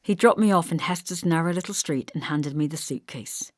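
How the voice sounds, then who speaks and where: A woman's voice: low-pitched, fairly quick, dry recording, studio quality. A woman reading scripted first-person literary narration as an audiobook narrator.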